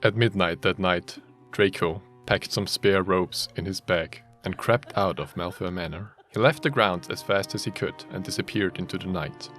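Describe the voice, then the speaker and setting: A man's voice: low voice, moderate pace, hardly any pauses, fairly bright tone, soft background music. A man narrating a written story in a group reading, breaking off into casual, sweary banter. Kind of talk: scripted story reading mixed with joking chat.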